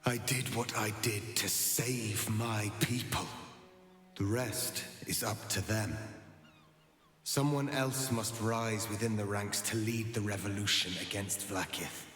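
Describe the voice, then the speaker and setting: An actor playing an anguished, resolute, transformed warrior prince, speaking in a solemn, measured, declamatory way in a video game. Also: male voice, lowish voice, steady tone, a bit bright. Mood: solemn resolve. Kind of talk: dramatic video game acting. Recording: echoey hall reverb with music behind.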